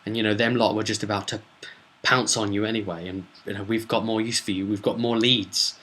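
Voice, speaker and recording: A man voicing a persuasive temple brother in a role-play recap, halting and insistent as he explains. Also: lowish voice, flat delivery, a bit bright, lightly acted. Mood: confiding, persuasive. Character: hurried male temple brother, persuasive, secretly disguised impostor.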